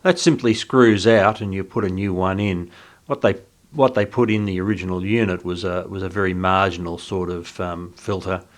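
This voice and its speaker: A man giving an informal, unscripted hands-on walkthrough as a hobbyist host, speaking haltingly as he thinks aloud. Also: low voice, steady tone. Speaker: video host, radio hobbyist.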